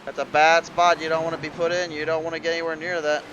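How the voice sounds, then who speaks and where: A man's voice: on the high side, level tone, quick pace, a bit bright, dull audio, a little hiss or hum. A man giving rambling live play-by-play commentary on a racing league broadcast, a little under the weather, his voice cutting out at times. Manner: rambling play-by-play with restarts and fillers. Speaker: race commentator.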